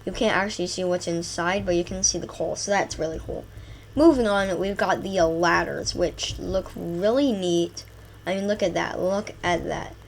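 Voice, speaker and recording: Stuffy-nosed excitable woman gamer. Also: on the low side, moderate pace, vocal fry, a little hiss or hum. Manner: excitable, enthusiastic, rambling lists with fillers. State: stuffy from a cold. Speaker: gaming channel host. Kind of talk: casual gameplay commentary.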